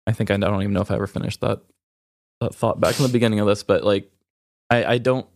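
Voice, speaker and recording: Male podcast host, low register, raw and reflective, halting delivery through grief, dry recording. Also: steady tone, vocal fry, no room sound. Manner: halting, reflective, emotional, with pauses. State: grieving. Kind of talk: raw, candid personal conversation.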